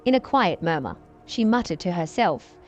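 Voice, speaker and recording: A woman reading a dramatic story in an even, unhurried scripted narration. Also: lowish voice, muffled recording, faint music behind.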